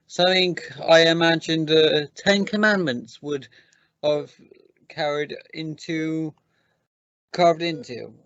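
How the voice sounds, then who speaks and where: A man playing a defensive, accusing scientist in an amateur radio drama, speaking in a halting, formal and deliberate way. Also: fairly high pitch, a bit bright, no room sound, lo-fi sound. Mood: guarded. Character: defensive, accusing male scientist on a space mission. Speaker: amateur radio play actor.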